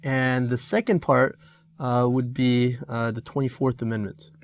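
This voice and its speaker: A middle-aged man reviews an exam answer for his students. He talks in halting stretches, full of "uh" and "um" and restarted phrases. His voice sits at a middle pitch for a man. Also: flat delivery, slight background noise. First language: Korean. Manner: halting, many fillers and restarts. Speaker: teacher.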